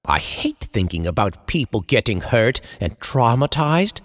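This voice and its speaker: Drenched in long reverb, a man acts out a tormented horror writer confessing his slide into madness. He speaks slowly and eloquently, with theatrical swings of pitch, in the manner of an old time radio chiller. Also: exaggerated voice. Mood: bitter, disgusted. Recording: long reverberant hall echo.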